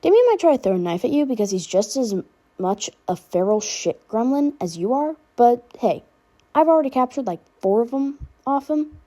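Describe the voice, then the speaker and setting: A woman's voice: a little high, big pitch swings, moderate pace, dark tone, voice pushed up. A woman voicing a protective, sardonic teenage boy who talks casually and affectionately in a fan fiction audiobook. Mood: reassuring, excited. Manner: casual, rambling teen talk with filler words.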